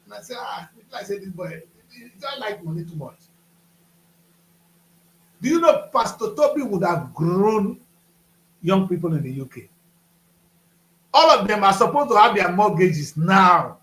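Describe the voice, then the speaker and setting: A man in his fifties hosts a talk show, firing emphatic rhetorical questions. His voice sits high. The studio sound is roomy and echoey. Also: very animated, measured pace, pauses often, a bit bright. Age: 50s.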